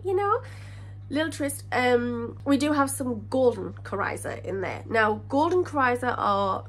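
A woman's voice: fairly high pitch, slightly rough. A woman in her thirties with a congested, slightly crackly voice from a cold, hosting a fish-keeping channel and chatting to the camera in a rambling, self-correcting way. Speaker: aquarium channel host. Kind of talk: casual talk to camera. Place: living room in front of a fish tank.

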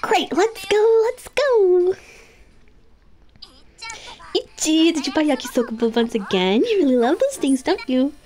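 A woman voicing a bubbly, excitable schoolgirl in a bouncy, exclamatory way, reading an anime game story. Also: high-pitched, measured pace, long pauses, a little hiss or hum, pitched above the performer's own voice. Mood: bubbly, eager.

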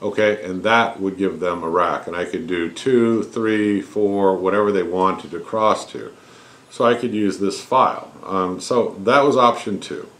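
A man's voice: sits low, unhurried, a bit bright. A man in his fifties explaining things step by step in a casual, folksy way, as the host of a hands-on tutorial. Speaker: host of a home welding tutorial channel. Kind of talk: casual explanatory shop talk. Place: cluttered home garage workshop.

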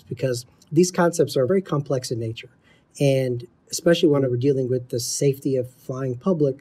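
A man's voice: medium pitch, mellow, husky. A middle-aged man explaining training methodically, as an instructor answering interview questions. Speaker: air traffic control instructor, interview guest.

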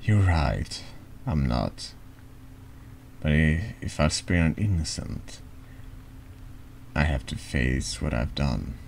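A man's voice: very deep voice, lively intonation, slow, gravelly, long pauses, a little mellow, slight background noise, exaggerated voice. A man voicing a guilt-ridden penitent in a horror text game, earnest, pleading and resigned.

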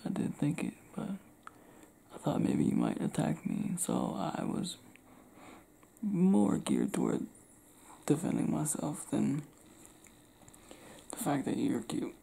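A young man from Cleveland doing an intimate ASMR roleplay, talking softly and often whispering, recorded close in a small indoor room. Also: medium pitch, huge pitch swings, takes it slowly, creaky, pauses often, husky. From Cleveland, Ohio.

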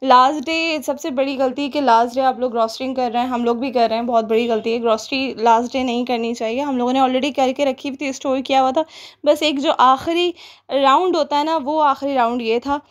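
A woman's voice: high voice, fairly even intonation, a little fast. A young woman with a Pakistani Urdu accent narrating a shopping vlog in a chatty, rambling way with many fillers. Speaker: vlogger.

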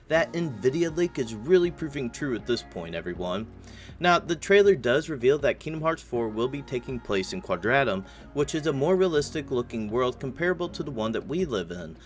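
A man with an American accent and a slightly raspy voice, narrating a gaming news recap as a channel host in a casual, informal way. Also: medium pitch, very animated, moderate pace. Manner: casual, informal address to viewers. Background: music behind the voice.

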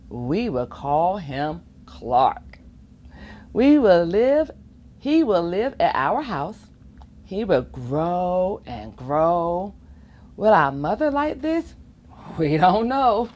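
A middle-aged woman reading a children's picture book aloud in an expressive sing-song way, with playful asides. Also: mid-range voice. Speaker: volunteer children's storybook reader. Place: school or public library children's corner, indoors. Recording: faint room ambience noise.

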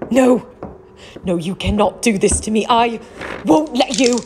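A woman's voice: on the high side, unhurried, noisy background. A woman playing a grieving, headstrong young woman with formal, pleading diction in a theatrical audio drama. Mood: desperate, defiant.

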